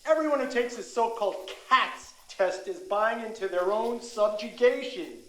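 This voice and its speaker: Scornful, defiant woman, high-voiced ranting skeptic, audio drama, roomy echo. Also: expressive, normal speed, a bit bright. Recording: echoey room.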